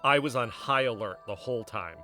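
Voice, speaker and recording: A man's voice: mid-range voice, a little fast, bright, forceful tone, faint music behind, lightly acted. A man playing a guarded, argumentative investigator in a scripted podcast drama. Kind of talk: scripted audio drama dialogue.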